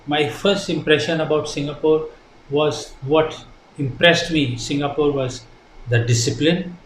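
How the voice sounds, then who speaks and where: An older Indian man, Hindi his first language, answers questions earnestly and haltingly, stopping often to restart his sentences. His pitch sits in the middle of the range. The recording is echoey, with a faint hiss behind him. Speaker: interviewee. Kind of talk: casual interview answers. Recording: echoey room with faint noise.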